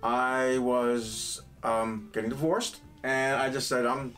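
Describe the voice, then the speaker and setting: A man's voice: medium pitch, fairly even intonation, slow, fairly bright tone, faint music behind. A middle-aged man from New York with a New York accent, chatting casually as a guest and telling stories with jokes. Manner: storytelling with jokes. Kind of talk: casual chat.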